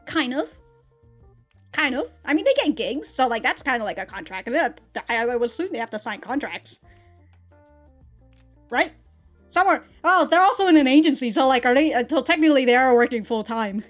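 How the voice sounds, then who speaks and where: A woman's voice: high-pitched, a little fast, faint music behind. A young adult woman hosting an anime reaction vlog, rambling through a casual review with frequent restarts and laughs. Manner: rambling, animated, laughs often, many restarts.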